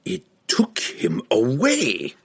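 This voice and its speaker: A cruel fantasy guildmaster, acted out by a male narrator in a husky voice that swings wildly in pitch. He is imperious and volatile, dragging his words out slowly with theatrical menace, as a storybook villain commanding his underlings. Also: wildly swooping pitch, heavily acted. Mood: outraged. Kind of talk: fantasy audiobook character acting.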